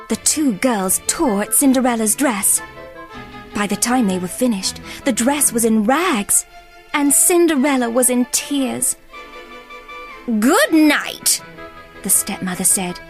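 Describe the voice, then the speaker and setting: A woman narrating a scripted children's bedtime story in an expressive storytelling style. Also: fairly high pitch. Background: music.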